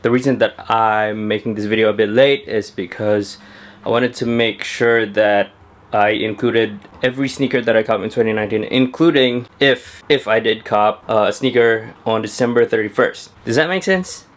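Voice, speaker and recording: A man's voice: on the low side, normal speed. A young man talking casually to camera at home about his sneakers, chatty and laid-back with lots of fillers. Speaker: sneaker vlogger. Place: at home indoors.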